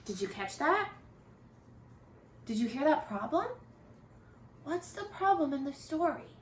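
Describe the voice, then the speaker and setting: A woman reading a picture book aloud like a teacher, expressive and encouraging, putting questions to her young listeners. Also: sits high, a little slow, slight background noise. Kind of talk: animated children's read-aloud with questions to listeners. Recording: echoey, roomy sound.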